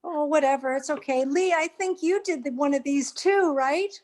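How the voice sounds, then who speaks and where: A woman with a clearly high-pitched voice takes part in a group conversation. Her delivery is informal and conversational. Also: normal speed, a little mellow. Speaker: group participant. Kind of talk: casual chat.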